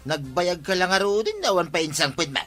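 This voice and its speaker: Brief: actor as complaining husband wanting coffee, bright forceful mid-range voice, comedy drama, light music behind. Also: male voice, sits high, animated, brisk, full character voice. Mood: exasperated.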